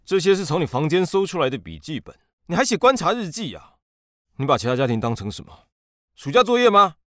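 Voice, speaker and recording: A man's voice: on the high side, very animated, fast talker, pushed and bright, dry recording. A man acting as a stern, indignant police interrogator in an audio drama, scolding with incredulity.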